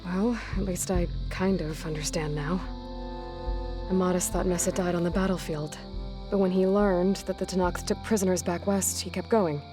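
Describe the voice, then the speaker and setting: A young huntress presses with blunt, direct questions, voiced by a woman. Her tone sits slightly low and steady. A game score runs clearly behind her. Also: normal speed, close to an everyday voice. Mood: blunt, probing. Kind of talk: video game cutscene voice acting. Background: game music score.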